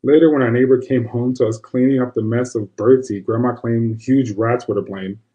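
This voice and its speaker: A man's voice: mid-range voice, steady tone, normal speed, dark tone, muffled recording, natural voice. A man playing an ordinary, square fellow telling a first-person tale in a conversational, confiding way. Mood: wry. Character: self-described square man recalling his witch grandmother. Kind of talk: first-person storytelling monologue.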